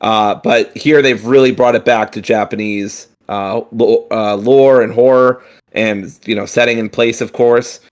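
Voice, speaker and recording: A man's voice: mid-range voice, steady tone, measured pace. A man co-hosting a gaming podcast, chatting casually and rambling with frequent fillers, restarts and casual swearing. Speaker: podcast co-host. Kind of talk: casual chat.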